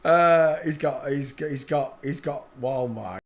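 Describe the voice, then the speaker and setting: A man hosting his own channel, giving brash, scoffing commentary over video clips, with quick asides. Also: on the high side.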